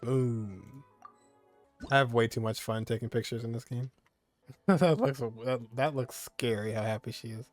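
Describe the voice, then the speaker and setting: Casual male game streamer, mid-pitched. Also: unhurried. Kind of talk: casual game playthrough commentary. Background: game music.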